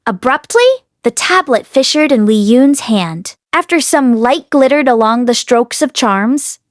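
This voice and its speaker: A woman's voice: fairly high pitch, animated, unhurried, no echo, studio quality. A woman reading a fantasy novel aloud as an audiobook narrator. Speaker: audiobook reader. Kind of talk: expressive storybook narration.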